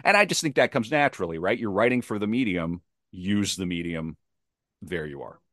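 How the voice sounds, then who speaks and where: A man's voice: low-pitched, animated, a little fast, a bit bright, dry recording, clean studio sound. A man speaking as a podcast guest and playwright, talking casually and emphatically about his craft, often rephrasing for stress. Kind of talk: animated casual interview talk about craft.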